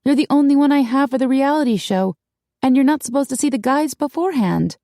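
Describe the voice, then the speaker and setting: Woman playing an anxious young woman, raised pitch. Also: lively intonation, a little slow, mellow, no room sound, studio quality. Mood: alarmed. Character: anxious, earnest young woman, worried and responsible.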